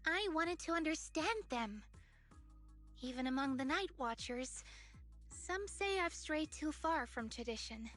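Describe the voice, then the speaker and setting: A woman's voice: high-pitched, animated, unhurried, fairly bright tone, faint music behind. A woman voicing an earnest, curious young tribeswoman in an anime-style game. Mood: reflective, unsure.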